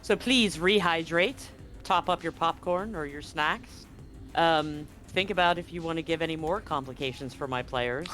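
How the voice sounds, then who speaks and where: A woman's voice: low-pitched, moderate pace, a bit bright, faint music behind. A woman game master casually narrating a livestreamed tabletop game to her players and viewers.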